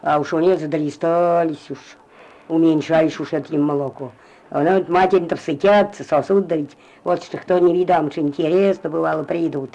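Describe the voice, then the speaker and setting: Old village woman with a dark, lo-fi voice. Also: low-pitched, fairly even intonation, moderate pace. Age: older. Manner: meandering, repetitive, drops to a mumble at phrase ends. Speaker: interviewee, retired farm worker. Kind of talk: rambling spoken memories. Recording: lo-fi field recording.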